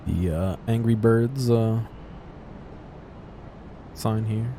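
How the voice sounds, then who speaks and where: A man with a low voice talks casually through a video game, thinking aloud in an unscripted way. Noticeable background noise sits behind him. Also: very slow, warm tone. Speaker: gameplay video host.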